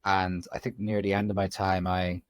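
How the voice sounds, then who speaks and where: A man in his forties with an Irish accent, from Ireland, telling his story as a podcast guest in a reflective, halting way. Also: deep voice, flat delivery, clean tone, no room sound, clean studio sound. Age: 40s. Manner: reflective, frequent ums and restarts. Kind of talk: candid conversational storytelling.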